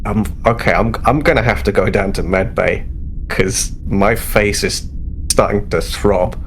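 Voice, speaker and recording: A man's voice: fairly low pitch, steady tone, unhurried, slight background noise. A man playing a cocky, sporty starship crewman in a tabletop game, talking in a boastful, informal way. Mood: sore.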